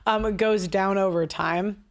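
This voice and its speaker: A woman's voice: mid-range voice, steady tone, a little slow. A woman hosting a live call-in stream, talking casually with fillers like "like" and "um". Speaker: host.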